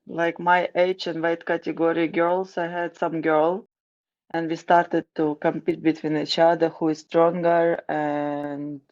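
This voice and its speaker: A woman in her thirties with a Ukrainian accent, talking in an interview. Her voice is gravelly and she speaks slowly in a flat, even tone. She stops and restarts often, and the recording sounds dull. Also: sits low. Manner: halting, with restarts and fillers. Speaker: guest, wrestling coach. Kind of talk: casual interview answers.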